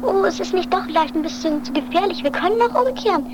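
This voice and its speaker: A woman playing an excitable, anxious wife in an improvised amateur comedy radio play, chatty and theatrical. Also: very high voice, quick pace. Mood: worried. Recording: noisy 1980s amateur recording.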